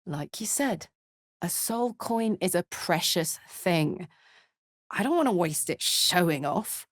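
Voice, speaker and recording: A woman's voice: on the low side, lively intonation, takes it slowly, dry recording. Brash tiefling fighter girl from a game, blunt n matey, takes her time, super dry close sound. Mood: earnest. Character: brash, big-hearted tiefling warrior woman, battle-hardened. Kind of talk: video game character voice lines.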